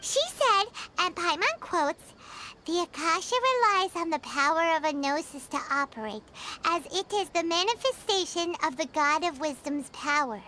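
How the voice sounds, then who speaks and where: Squeaky, very high childlike fairy companion. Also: female voice, pushed and bright, a little hiss or hum. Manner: perky, exclaiming, refers to self in third person. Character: small excitable floating fairy companion, childlike and eager. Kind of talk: anime video game voice acting.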